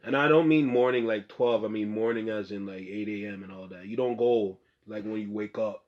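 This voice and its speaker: A man's voice: fairly low pitch, brisk. A young man hosting a podcast, chatting loosely with a friend in slang and cursing casually. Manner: loose, slangy, laughs a lot, swears casually. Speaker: podcast host. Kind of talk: casual chat. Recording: muffled lo-fi room recording.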